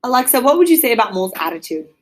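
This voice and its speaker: A woman's voice: on the high side, sing-song, racing. A woman reading a storybook aloud as a teacher to children, explaining as she goes and prompting with questions.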